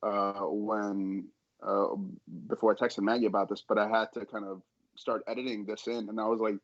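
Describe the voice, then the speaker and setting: A man with a fairly low voice speaks casually as a podcast guest. He is earnest and halting, doubling back on words as he goes. The recording is a little muffled. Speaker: audio drama creator and editor, podcast guest. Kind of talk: casual interview talk.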